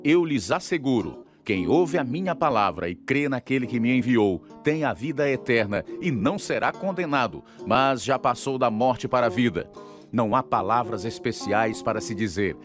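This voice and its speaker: Brazilian guy announcing a radio show from a script, animated pitch, guitar music behind. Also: moderate pace. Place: radio studio.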